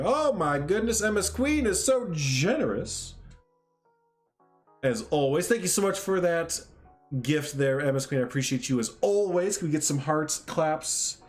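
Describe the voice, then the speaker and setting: A man hosting a casual livestream, stuffed up from a sinus cold, chatting in a friendly, rambling way and reading out chat. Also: mid-range voice. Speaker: livestream host.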